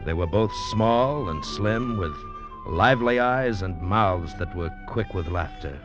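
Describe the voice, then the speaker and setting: Convict narrator, deep voice, grave, dramatic storytelling, musical underscore, dull old radio recording. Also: male voice, animated, measured pace, over music. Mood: grave, brooding. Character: grave male convict barber narrating his own tale. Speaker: first-person narrator.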